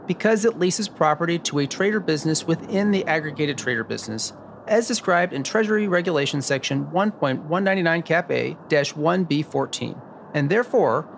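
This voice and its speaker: A man's voice: fairly high pitch, creaky, slight background noise. An American man narrating a scripted noir radio drama as its detective host. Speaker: podcast host playing himself as a detective narrator.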